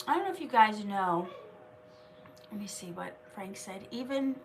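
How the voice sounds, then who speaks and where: A woman's voice: mid-range voice, lively intonation, normal speed, recorded from a distance, a little hiss or hum. A woman hosting a casual live stream, chatting with viewers and reading their comments aloud while she has a cold and keeps coughing.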